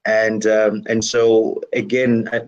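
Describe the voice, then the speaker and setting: A man from DR Congo whose first language is French speaks with a fairly low voice. He answers reflectively as an interview guest, often circling back with "you know" and small restarts. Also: flat delivery, slow, dull audio. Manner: thoughtful, many "you know" fillers and restarts. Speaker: guest musician and worship leader.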